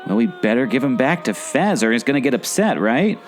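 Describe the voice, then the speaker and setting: An excitable, nervy adventurer sidekick acted by a man in a radio adventure play. His delivery is exclamatory and swings widely in pitch, with soft music underneath. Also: fairly quick, natural voice. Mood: amazed. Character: excitable male adventurer sidekick, anxious and skeptical.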